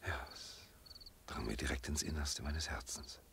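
An actor playing a reserved, tender man in love in a radio drama, speaking quietly and haltingly. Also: rumbling low. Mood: soft, enamoured. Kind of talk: German radio drama acting. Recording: clear night insect noise behind. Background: crickets.

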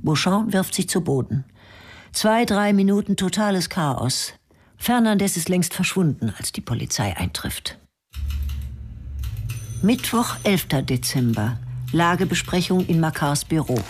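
A woman's voice: lowish voice, very animated, measured pace, a little hiss or hum. A woman narrating a radio crime drama in terse, clipped sentences.

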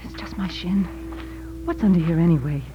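Actress doing plucky scared young gal, wry, 1940s radio play, muffled n a bit hissy. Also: female voice, lowish voice, normal speed, lightly acted. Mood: nervous, wry. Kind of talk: 1940s radio drama acting. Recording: noisy, band-limited 1940s radio recording.

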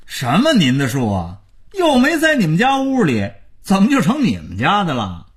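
A man voicing a sharp-tongued neighbor's son who argues and needles in a raised voice. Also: high voice, wildly swooping pitch, normal speed, voice pushed up. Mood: combative. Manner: shouting back, needling.

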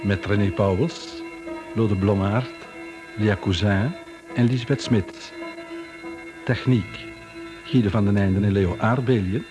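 A man's voice: lowish voice, slow pace, long pauses. A man, formally announcing the title and credits of a radio play. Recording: loud music behind the voice.

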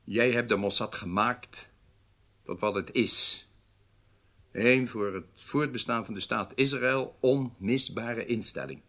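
A stern, measured government leader. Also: male voice, medium pitch, a bit bright. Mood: grave, resigned. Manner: formal, measured, authoritative. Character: stern, weighty Israeli government leader rebuking his intelligence chief. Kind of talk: radio drama acting.

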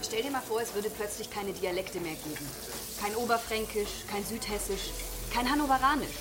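A woman's voice: medium pitch, moderate pace, background noise, close to an everyday voice. A woman playing an earnest, opinionated friend in a radio drama, holding forth articulately in conversation. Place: restaurant scene with sizzling and music behind.

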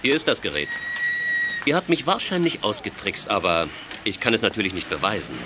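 Dry schoolmaster, swinging intonation. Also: male voice, lowish voice, sing-song, fairly quick, noisy background. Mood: wry suspicion. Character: stern, wry male maths teacher at a school. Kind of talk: 1980s children's audio drama acting.

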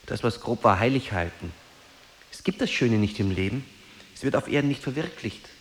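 A man as a sober, disillusioned loner, mid-pitched. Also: animated, moderate pace, a little hiss or hum, natural voice. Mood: bitter resignation. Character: reserved, disillusioned solitary man, quietly attentive listener. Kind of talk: restrained literary radio drama acting.

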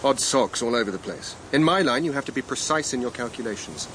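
A principled academic man in a radio drama, precise and indignant. He talks fast with a lively, slightly high voice over a faint outdoor rumble. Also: poor audio. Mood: firm. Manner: precise, insistent, formal.

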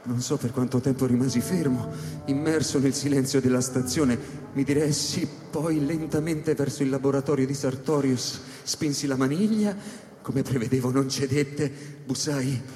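A man giving first-person dramatic narration in a radio play, deliberate and suspenseful. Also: mid-range voice, dark tone. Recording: echoey hall reverb, music behind.

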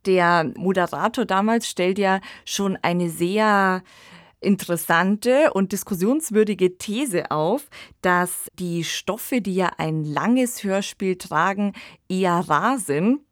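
A woman's voice: medium pitch, takes it slowly, no room sound, clean studio sound. A woman hosting a podcast, discussing radio plays in a conversational way. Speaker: podcast host.

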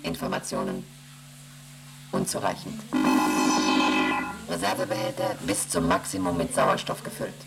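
A man voicing an emotionless onboard computer in a radio play, reading out readings and rules in a flat, mechanical way. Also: low-pitched, normal speed, vocal fry, long pauses, loud music behind the voice, heavily acted, rough recording. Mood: flat reporting. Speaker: ship's computer.

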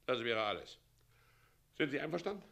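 An actor playing a scheming, pompous middle-aged president in a German radio play, swinging theatrically from commands to wheedling. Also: male voice, medium pitch, sing-song, normal speed, vocal fry, fairly bright tone. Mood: grandly persuasive. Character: scheming, pompous tyrant president, doting mockingly on his son.

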